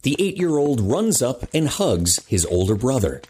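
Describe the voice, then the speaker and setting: A man with a raspy voice reading scripted narration for an audio drama. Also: mid-range voice, very animated, moderate pace, mellow.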